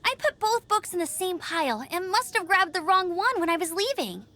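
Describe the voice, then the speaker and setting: A woman voicing an upbeat, bubbly teenage girl glider in a video game, with a perky, earnest, animated way of talking. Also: very high voice, normal speed, heavily acted. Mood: sheepish, embarrassed.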